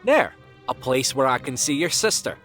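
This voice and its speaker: Man playing an excitable young pegasus, swooping pitch. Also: normal speed, soft background music. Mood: excited. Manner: animated, eager, chatty. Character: excitable, curious young male pegasus, playful. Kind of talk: scripted audio drama acting.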